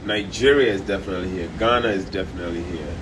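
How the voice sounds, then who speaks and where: A man livestreaming from a high-rise room by the beach on a phone at arm's length, talking loud, profane and animated. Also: fast talker, noisy background. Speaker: comedian livestreaming. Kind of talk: casual live stream chat. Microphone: phone held at arm's length.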